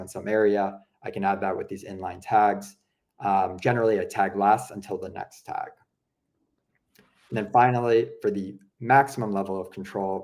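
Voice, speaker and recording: Relaxed, low-pitched man explaining software. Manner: relaxed, chatty, many fillers like "you know" and "um". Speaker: product presenter. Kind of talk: casual explanatory walkthrough.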